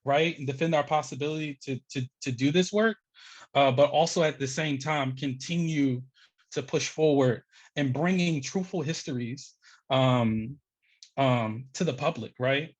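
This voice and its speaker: An American man in his thirties speaking off the cuff as a panelist, halting as he thinks aloud on a video call. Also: mid-range voice. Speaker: educator and activist panelist. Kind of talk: panel discussion, unscripted. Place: small home room, indoors. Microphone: headset on video call.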